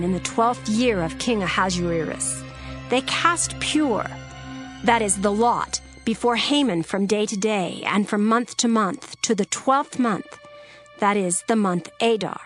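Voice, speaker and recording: A woman narrating a dramatized scripture reading in a measured, solemn way. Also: mid-range voice, soft background music.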